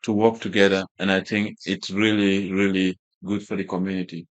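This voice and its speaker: A man's voice: sits low, level tone, normal speed. A man from Gambia whose first language is Wolof. He is a fashion brand founder talking as a guest in a casual interview, with many fillers and restarts.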